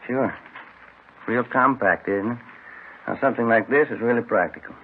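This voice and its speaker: An actor playing a chatty, fussy police detective in an old radio drama, folksy and earnest. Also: male voice, medium pitch, normal speed, close to an everyday voice. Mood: chatty, pleased. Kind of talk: naturalistic 1950s radio drama acting. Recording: hissy, narrow old radio recording.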